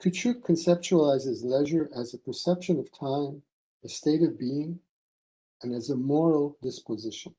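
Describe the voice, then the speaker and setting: A man delivering a formal academic conference paper from a prepared text, in measured, deliberate phrases. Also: a little high, mellow, low-quality recording. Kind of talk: formal scholarly lecture read from a prepared text.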